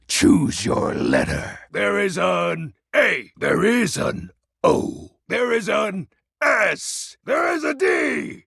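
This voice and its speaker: A self-styled lord of shadows for a video game, nerdy and grandiose with a wistful streak. The voice is very breathy and gravelly, swooping widely in pitch with overdramatic emphasis. The archaic phrasing is savored unhurriedly. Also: male voice, a bit bright, no echo, clean studio sound, heavily acted. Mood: dramatic, excited. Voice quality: husky, gravelly. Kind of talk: video game character acting.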